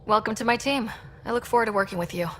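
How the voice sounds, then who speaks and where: A woman's voice: a little high, fast talker, fairly bright tone, faint music behind, natural voice. A woman playing an easygoing, sincere young expedition leader with a friendly, lightly teasing manner in a video game. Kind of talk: video game cutscene acting.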